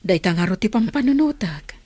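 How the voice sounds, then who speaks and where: A woman's voice: medium pitch, expressive, brisk, dark tone, husky, no room sound. Suspicious Ilocano mother, dry sound. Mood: uneasy. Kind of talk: radio drama acting.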